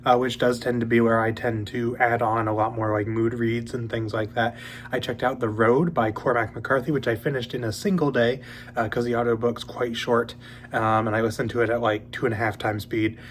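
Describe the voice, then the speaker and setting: A young man hosting a casual book channel, talking in a relaxed, rambling way with many ums, a little sniffly as he gets over a cold. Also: mid-range voice, moderate pace, a little hiss or hum. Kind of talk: casual monthly reading update.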